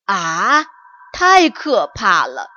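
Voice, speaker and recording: A woman voicing a big, easily frightened male tiger in a children's story, speaking loudly and earnestly. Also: a little high, animated, takes it slowly, no echo, lo-fi sound.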